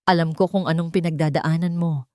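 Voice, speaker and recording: A woman's voice: sits low, fairly even intonation, fairly quick, a little mellow, no room sound, clean studio sound, natural voice. A woman voicing a stern, determined grandmother in an audio drama story, firm, cold and accusing. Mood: angry, forceful. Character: stern, determined grandmother confronting her daughter.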